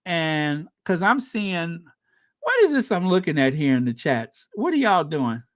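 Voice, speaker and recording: A man's voice: fairly high pitch, measured pace. A man hosts a chatty livestream, reading out viewer comments and laughing easily. His voice rises and falls a great deal while he takes his time over each phrase. The sound is close and dry, with no room echo. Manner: chatty, animated, laughs often. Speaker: livestream host.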